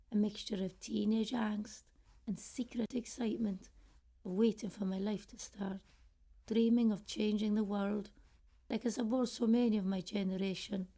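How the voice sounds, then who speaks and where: A woman's voice: mid-range voice, gravelly, mellow. A woman acting a wistful, regretful middle-aged British woman in a confiding radio play monologue. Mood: wistful, nostalgic. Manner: reflective, confiding storytelling. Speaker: actor in a student radio play. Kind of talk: first-person radio drama monologue.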